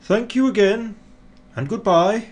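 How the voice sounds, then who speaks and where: A man's voice: sits high, unhurried, pitched above the performer's own voice. A man voicing a kindly old Father Christmas from a children's storybook, fretful but hearty. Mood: grateful.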